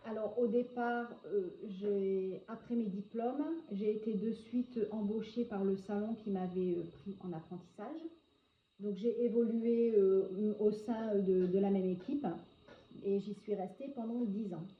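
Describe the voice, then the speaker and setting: A woman in her forties from northern France, a hairdresser answering interview questions in a hesitant way, often pausing on filler sounds. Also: medium pitch, steady tone. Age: 40s. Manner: hesitant, frequent filler pauses. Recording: echoey, dull lo-fi sound with faint noise.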